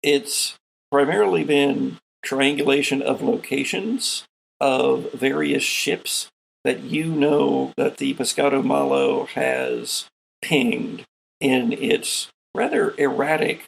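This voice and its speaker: A man acting as game master, narrating an improvised tabletop game in a measured, halting explanatory way. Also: mid-range voice, vocal fry, breathy edge, poor audio.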